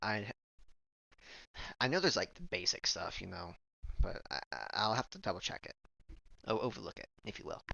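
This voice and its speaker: Goofy, lively young-sounding streamer man. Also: fairly low pitch, normal speed, creaky, pauses often, muffled recording. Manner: goofy, rambling, laughs often. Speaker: streamer host. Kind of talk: casual chat.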